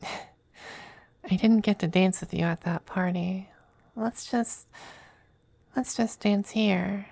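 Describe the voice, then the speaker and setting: A woman playing a tender, possessive male demon femboy in an intimate ASMR roleplay, soft and breathy, often whispering, with a hesitant, coaxing manner. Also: medium pitch, no echo. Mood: playful, intimate. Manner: slow, hesitant, gently coaxing.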